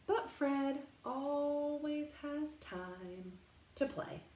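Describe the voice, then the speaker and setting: A woman reading a picture book aloud in a playful, expressive way, pausing to put questions directly to young children. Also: sits high. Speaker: storytime reader for young children. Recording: roomy echo, faint background noise.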